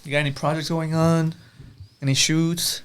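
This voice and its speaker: A man's voice: on the high side, little pitch movement, moderate pace, a little hiss or hum. A man in casual podcast banter, giggly and full of questions, swearing freely. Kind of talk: casual joking group podcast chat.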